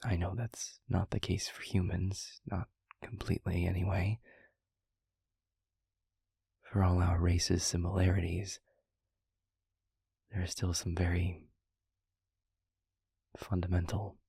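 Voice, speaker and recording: A reflective, quietly amused demon mentor, acted by a man, tells his story in a roleplay audio. His voice is low and very breathy, with a gravelly edge, and he speaks softly and haltingly into a close mic. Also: level tone, no echo, full character voice. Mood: gently teasing, explanatory. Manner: hushed, halting, unhurried, confiding. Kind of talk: intimate roleplay audio monologue, storytelling to a listener. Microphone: close microphone, dry.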